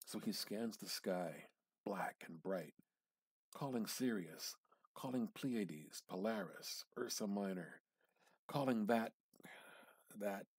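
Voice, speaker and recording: A man giving a measured, theatrical reading of a literary short story, drawing out words and pausing for effect. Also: on the low side, darkish tone, husky, no echo. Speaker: amateur reader practicing dramatic reading.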